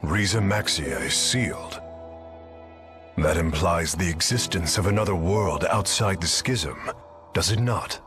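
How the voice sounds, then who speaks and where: A man's voice: sits low, unhurried. A man voicing a stern, imperious king in a video game, speaking formally and with command. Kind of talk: English video game cutscene voice acting. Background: music.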